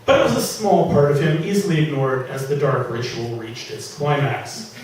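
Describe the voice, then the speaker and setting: A man's voice: a little high, normal speed. Theatrical man reading aloud in an echoey room. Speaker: author reading from own novel. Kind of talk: live dramatic reading of comic fantasy fiction. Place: public reading at an indoor venue. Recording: echoey room from far off, faint noise.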